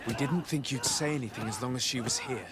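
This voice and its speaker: Man acting a measured game hero, mid-pitched. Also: background noise, lightly acted. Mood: calm, probing. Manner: measured, polite, earnest. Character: calm, diplomatic male adventurer investigating abuses. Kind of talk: video game cutscene acting.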